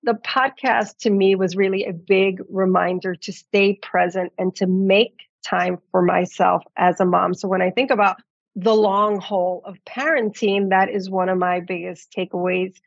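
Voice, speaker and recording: A woman's voice: mid-range voice, steady tone, moderate pace, creaky, no room sound, dull audio. A middle-aged American woman speaking articulately and reflectively as a guest in a conversational interview. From New York City, United States. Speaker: podcast guest, magazine editor.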